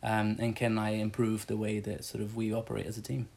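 A man's voice: lowish voice, monotone, brisk, gravelly, darkish tone. A man in his thirties who grew up in Brazil, rambling chattily through interview answers about his church job, with many fillers and restarts. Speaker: church staff member.

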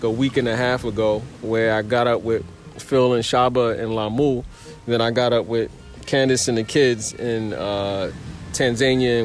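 Earnest man thinking aloud. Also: mid-range voice. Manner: earnest, searching for words. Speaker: traveler in conversation. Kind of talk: casual reflective conversation.

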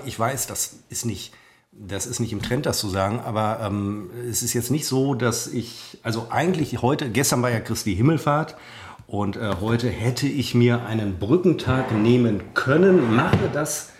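A man's voice: mid-range voice, slight background noise. A German man co-hosting a casual podcast chat, rambling and self-deprecating with many hesitant fillers. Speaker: podcast co-host.

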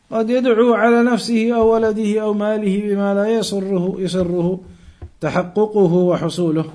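A man teaching an Islamic lesson, reading out text and explaining it deliberately, with emphatic repetition and rephrasing. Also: high voice, darkish tone. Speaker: religious teacher.

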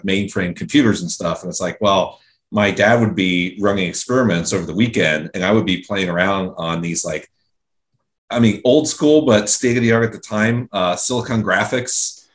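A man's voice: fairly low pitch, fairly bright tone. A man from Central Ohio, a guest in an interview, talking casually with many fillers and restarts. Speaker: guest, engineering director.

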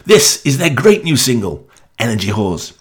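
A man with a mid-pitched voice presents a music radio show as its host. He speaks in the style of a presenter introducing records. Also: sing-song, moderate pace, breathy edge.

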